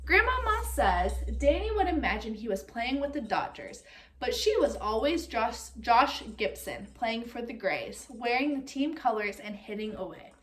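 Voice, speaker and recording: A woman reading a picture book aloud like a teacher, expressive and speaking directly to young listeners. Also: on the high side, moderate pace. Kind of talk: read-aloud storytelling with comprehension questions.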